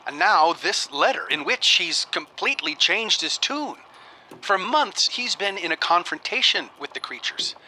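A worried male scholar in a radio drama, with a very bright, rather high voice. He is earnest, hesitant and excitable, over faint noise. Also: exaggerated voice, rough recording. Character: anxious, puzzled male scholar documenting strange events. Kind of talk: audio drama acting.

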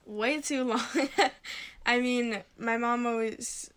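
A woman's voice: on the high side, fairly even intonation, slow pace, fairly bright tone, smooth. Giggly, shy young girl co-host. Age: twelve-year-old girl. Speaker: podcast co-host. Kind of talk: casual home podcast chat.

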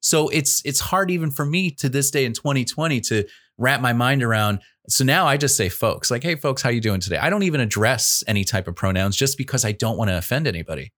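A man's voice: mid-range voice, expressive, fast talker, hardly any pauses, fairly bright tone, dry recording, clean studio sound. An older man hosting a casual talk show, chatty and candid with plenty of fillers. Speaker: host.

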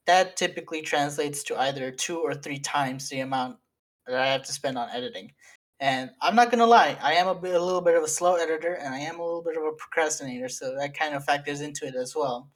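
A man's voice: a little high, quick pace, fairly bright tone, rough recording. A young man co-hosting a casual podcast with friends, rambling through long sentences full of fillers and laughing as he talks. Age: young adult. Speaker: podcast co-host and editor. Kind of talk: casual chat among friends.

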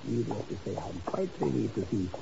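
An actor in a 1950s radio drama playing a suave European baron with florid, flattering, elaborately courteous talk. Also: male voice, lowish voice, brisk, gravelly. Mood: pleased. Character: suave, flowery, theatrically gallant European baron and rogue. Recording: noisy, muffled old radio recording.

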